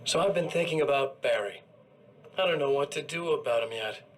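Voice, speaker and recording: An actor playing a smug, menacing evil doppelganger who talks in a slow, mocking, sneering way. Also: male voice, mid-range voice, a little hiss or hum, natural voice, low-quality recording.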